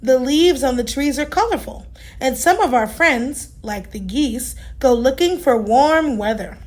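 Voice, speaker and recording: A woman voicing a gentle, reassuring mother hippo in a children's storybook, soothing and patient. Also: high-pitched, animated, measured pace. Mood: explaining gently.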